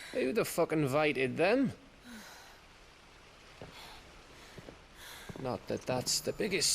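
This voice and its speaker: An actor playing a rough-spoken, wry and loyal outlaw companion with a gravelly voice in a video game cutscene. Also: male voice, fairly high pitch, full character voice.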